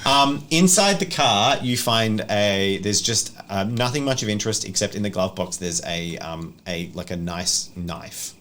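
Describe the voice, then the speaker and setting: A man's voice: lowish voice, animated, measured pace, hardly any pauses, fairly bright tone. A man narrating a tabletop roleplay as game master, improvising as he goes. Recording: noisy room ambience.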